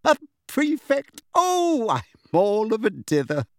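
A man's voice: high-pitched, wildly swooping pitch, slow pace, fairly bright tone, no room sound, studio quality, pitched above the performer's own voice, full character voice. A man voicing a doting, fussy middle-aged mother who gushes breathlessly in an audiobook story. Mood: flustered, delighted. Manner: breathless, gushing.

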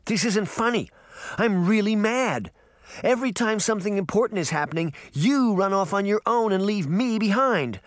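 A man's voice: fairly high pitch, normal speed, no echo, clean studio sound, voice pushed up, lightly acted. A man voicing an earnest seven-year-old girl in an audiobook, indignant and given to lecturing. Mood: cross, indignant. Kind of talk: audiobook character acting.

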